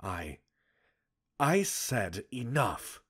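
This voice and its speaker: A man playing a cold, stern military commander in a roleplay audio, giving a formal, measured reprimand in a husky voice. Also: mid-range voice, very animated, fairly bright tone, exaggerated voice. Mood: cold anger. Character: stern male military commander, cold, scolding a reckless subordinate. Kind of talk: scripted ASMR roleplay monologue.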